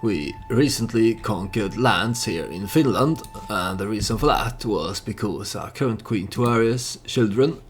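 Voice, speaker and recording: Low-voiced man narrating his game over background noise. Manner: hesitant, thinking aloud. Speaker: let's play host and storyteller. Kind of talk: casual game commentary with storytelling.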